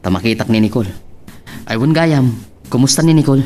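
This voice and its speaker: A man's voice: medium pitch, animated, a little fast, dark tone, slight background noise, natural voice. A man playing a stern, responsible older brother in a radio soap. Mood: respectful, rueful. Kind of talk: Philippine radio soap acting.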